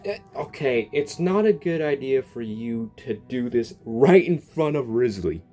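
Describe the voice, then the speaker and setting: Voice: mid-range voice, expressive, unhurried, dark tone. A gamer giving casual, playful and slangy reaction commentary over a game's story. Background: game music.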